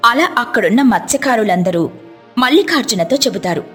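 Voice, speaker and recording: A woman tells a devotional moral tale in scripted narration. Her voice sits fairly high, and music plays behind her. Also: lively intonation, a little fast, fairly bright tone. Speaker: storyteller.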